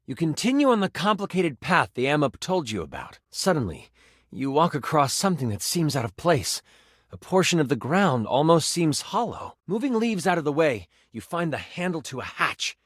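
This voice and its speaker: An actor playing an enthusiastic game master, a personified die who narrates tabletop fantasy with theatrical expression and savored suspense. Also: male voice, fairly high pitch, big pitch swings, normal speed, a bit bright, dry recording, clean studio sound. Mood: suspenseful storytelling.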